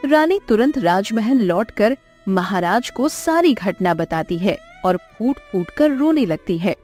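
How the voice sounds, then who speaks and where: A woman's voice: medium pitch, big pitch swings, measured pace, a little mellow. A woman giving scripted storybook narration for a cartoon. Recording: music under the voice. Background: background music.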